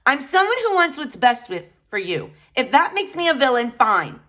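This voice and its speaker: Woman acting shrill, exasperated, scolding mother. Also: big pitch swings, moderate pace, fairly bright tone. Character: exasperated, sharp-tongued mother, easily shocked.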